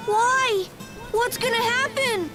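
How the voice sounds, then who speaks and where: A woman playing a perky, eager and headstrong teenage girl adventurer in a video game, bubbly and expressive. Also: extremely high pitch, takes it slowly, loud music behind the voice. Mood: puzzled, worried. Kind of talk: video game English dub acting.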